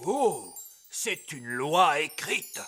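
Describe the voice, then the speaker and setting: A man voicing an excitable, rule-bound elf elder in a French cartoon, speaking in emphatic, pompous proclamations. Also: on the high side, drawn-out words, soft background music, exaggerated voice. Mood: earnest, pompous.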